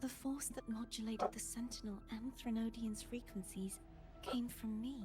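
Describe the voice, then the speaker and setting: An actress playing a gentle, sorrowful young woman in a game, speaking earnestly in soft, breathy, measured tones. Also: a little high, steady tone, lightly acted. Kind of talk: dramatic game voice acting. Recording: music behind the voice.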